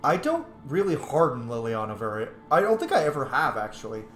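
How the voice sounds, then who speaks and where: A man's voice: mid-range voice, sing-song, a little fast, a bit bright. A man hosting a game playthrough with informal, opinionated roleplay commentary. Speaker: gaming host. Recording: roomy echo, game music behind.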